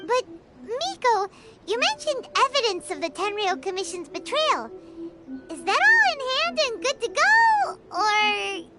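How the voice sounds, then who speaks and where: An extremely high, squeaky little fairy companion from a video game. She is childlike, excitable and easily flustered, with very animated intonation over loud music. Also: measured pace, heavily acted. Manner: speaks of herself in third person, exclamatory.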